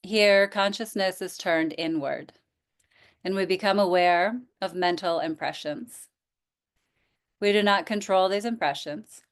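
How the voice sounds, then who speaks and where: A woman teaching yoga nidra, guiding relaxation in a gentle, inviting manner with pauses. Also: mid-range voice. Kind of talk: guided relaxation instruction.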